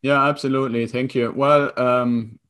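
A man in his fifties from Germany with a German accent, a guest recounting his career in a relaxed, reflective way. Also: mid-range voice, normal speed, clean tone. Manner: relaxed, reflective storytelling with frequent fillers. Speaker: guest, consultant and coach. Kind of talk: conversational interview.

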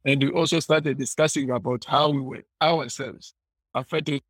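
A man's voice: medium pitch, steady tone, moderate pace, a bit bright, no room sound. A man from eastern DR Congo with a Congolese accent, telling his story conversationally as a podcast guest, explaining and rephrasing as he goes. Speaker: interview guest, clean energy entrepreneur.

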